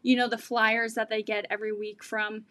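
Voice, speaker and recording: A woman's voice: a little high, level tone, normal speed, bright, forceful tone, no echo. A young woman from Canada hosting a video-call interview, talking in a hesitant, searching way. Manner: hesitant, lots of fillers and restarts. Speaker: host. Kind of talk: casual livestream interview. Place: room at home.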